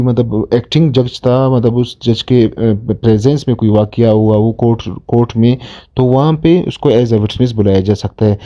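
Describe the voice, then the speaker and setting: Male law tutor, Pakistani accent. Soft-edged dark voice, halting explanatory delivery with repetition, slightly muffled audio. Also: mid-range voice. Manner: halting, repetitive, slows markedly when reading English. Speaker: law lecturer. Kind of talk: informal explanatory lesson, reading out statutes and explaining them.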